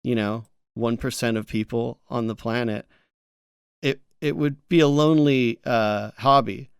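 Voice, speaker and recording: A man co-hosting a gaming news show in casual, informal, somewhat halting talk peppered with filler words. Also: medium pitch, steady tone, gravelly, smooth, dry recording, clean studio sound. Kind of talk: casual podcast chat.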